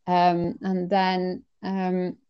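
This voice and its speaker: Mellow-voiced, reflective woman from Iceland. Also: lowish voice, monotone, extremely slow, clean tone, lo-fi sound. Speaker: interview guest. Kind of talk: casual conversational interview, personal anecdotes.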